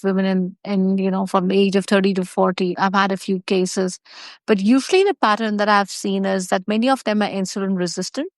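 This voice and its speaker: A woman homeopath calmly describing cases from her practice in a measured, professional way. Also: medium pitch, no room sound.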